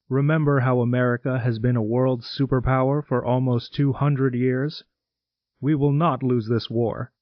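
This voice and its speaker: A man's voice: medium pitch, no echo, dull audio, close to an everyday voice. An actor playing a solemn, reassuring male American president giving a wartime radio address.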